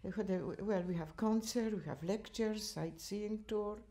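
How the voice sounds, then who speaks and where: An older woman from Poland with a Polish accent, chatting about her volunteer work in an interview, laughing often and speaking in halting, non-native sentences. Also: medium pitch, dark tone. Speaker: interviewee, cultural association chair. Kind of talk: reminiscing interview answers. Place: living room at home, indoors.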